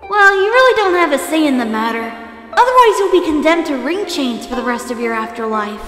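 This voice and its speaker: A woman's voice: high-pitched, big pitch swings, a little fast, fairly bright tone. A woman playing a friendly, cheerful young boy fox ghost in an audio drama, with a boyish manner. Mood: cheerful warning. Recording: echoing ghostly reverb, music behind.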